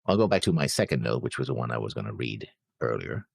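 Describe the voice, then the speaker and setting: A man's voice: a bass voice, sing-song, quick pace, vocal fry, breathy edge, no room sound, studio quality. A man co-hosting a reaction show, casually discussing and reading out his notes.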